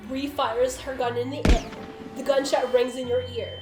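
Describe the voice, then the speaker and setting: A woman's voice: high-pitched, little pitch movement, moderate pace. A woman with a giggly, playful manner narrating a comic story as a dramatic reading among friends. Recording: roomy echo with faint music.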